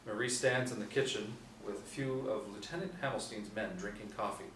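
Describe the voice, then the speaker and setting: Male narrator for script directions, lowish voice, recorded off-mic in a roomy space. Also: brisk, a bit bright. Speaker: narrator reading stage directions. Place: indoor room, seated reading panel. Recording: roomy, distant mic, faint noise.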